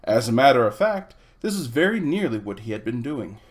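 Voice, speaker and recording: A man's voice: medium pitch, big pitch swings, a little fast, slightly rough. A man reading a children's storybook aloud as its narrator. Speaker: reader.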